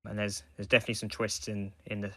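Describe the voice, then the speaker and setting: A man co-hosting a casual fan podcast, talking in a halting, hesitant way as he reviews. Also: lowish voice, monotone, a bit bright, dry recording, clean studio sound. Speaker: podcast co-host.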